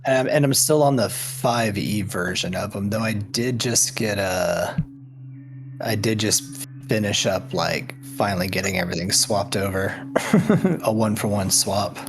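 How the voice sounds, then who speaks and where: A man with a fairly low voice plays a tabletop game. He talks slowly and casually, hesitating as he thinks aloud. Music is plainly heard behind him. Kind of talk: casual chat during a game. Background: clearly audible background music.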